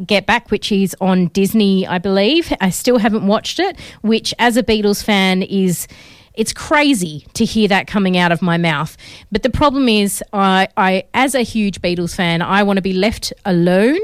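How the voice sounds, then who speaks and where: A woman's voice: medium pitch, clear, resonant tone, no echo, studio quality. An Australian woman in her thirties hosting a radio film show, chatting casually with many fillers, her voice a bit crackly. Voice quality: slightly crackly voice. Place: radio studio. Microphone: studio mic.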